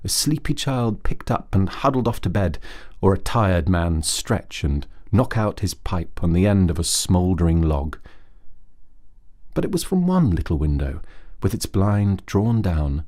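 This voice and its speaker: A man narrating a children's bedtime story as a storyteller, reading aloud in a measured, literary way. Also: low voice, sing-song, darkish tone.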